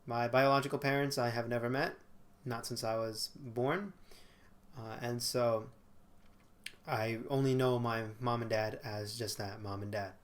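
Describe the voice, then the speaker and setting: A man's voice: medium pitch, steady tone, a little slow. A young man in his twenties talking casually to a webcam in a small bedroom, with frequent fillers and self-corrections. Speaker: vlogger. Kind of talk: casual talking-head monologue.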